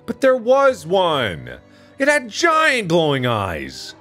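A man's voice: high voice, huge pitch swings, slow, sharp tone, faint music behind, pitched above the performer's own voice, full character voice. A man voicing a frightened, insistent little girl in a visual novel, pleading. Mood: insistent, scared.

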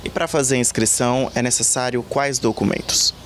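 A man's voice: medium pitch, fairly even intonation, brisk, a bit bright. A Brazilian man in his thirties reporting from outdoors and asking interview questions. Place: outdoors in a leafy yard. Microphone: handheld reporter microphone. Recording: faint outdoor background noise.

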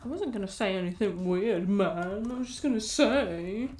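A woman's voice: mid-range voice, expressive, moderate pace, darkish tone. Sweary, teasing young British woman. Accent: British English. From North Wales. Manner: sweary, teasing, laughs often. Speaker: streamer. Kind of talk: casual stream chat.

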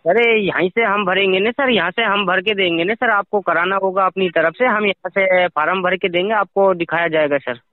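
A man's voice: high-pitched, normal speed, few pauses. A man working as a company phone agent on a sales call, speaking insistently and repeating himself over a telephone. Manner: insistent, repetitive. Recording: tinny, narrowband phone line recording.

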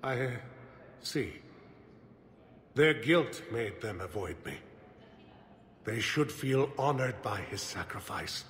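An actor playing a formal ambassador and bereaved father in a video game, grave and halting. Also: mid-range voice, animated, fairly bright tone, reverberant. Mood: restrained grief.

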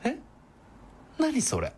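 A woman's voice: sits high, huge pitch swings, drawn-out words, a little mellow, full character voice. An actress playing a sadistic, taunting female villain in a radio drama, with a sneering, theatrical delivery. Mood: alarmed. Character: sadistic, taunting female villain who calls herself boku.

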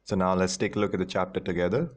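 A man's voice: deep voice, very fast. A man in his thirties giving a casual, rambling online lesson to students from a small room. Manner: rambling, chatty, self-mocking. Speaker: teacher.

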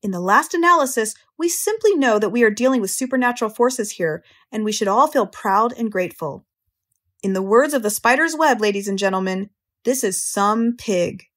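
A woman's voice: a little high, expressive, normal speed, fairly bright tone. A woman voicing a pompous, grandiose fairground announcer making formal proclamations in a storybook. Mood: pompous, proud. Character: pompous fairground announcer booming over a loudspeaker.

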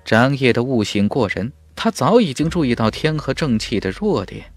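Male audiobook narrator, dramatic and emphatic, lively intonation, dry close sound. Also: medium pitch, normal speed, no echo, faint music behind.

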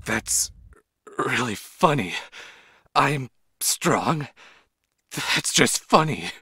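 A man voicing a tormented teenage tough guy in a fan manga dub, with a rough, intense, brooding delivery. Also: mid-range voice, big pitch swings, very slow, pushed and bright, no room sound, studio quality, exaggerated voice. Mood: brooding, bitter. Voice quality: rough, gravelly.

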